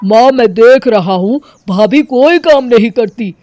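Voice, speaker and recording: A woman's voice: a little high, expressive, a little slow, over music. A woman voicing an earnest young husband and protective son in a cartoon family story. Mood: complaining. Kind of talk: animated Hindi family story dramatization.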